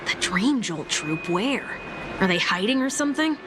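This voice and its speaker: An actress playing a spirited, exasperated, quick-witted young woman adventurer in a video game, with an expressive, sassy delivery. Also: medium pitch, heavy background noise, natural voice. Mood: incredulous. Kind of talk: English video game voice acting. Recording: game music behind the voice.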